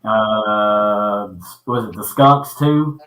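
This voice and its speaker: Man in his thirties, bright voice, stop-start delivery with lots of uh, roomy echo. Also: fairly low pitch. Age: 30s. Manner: halting, repeats words and fills with uh. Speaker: podcast host. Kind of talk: casual livestream chat. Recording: echoey room.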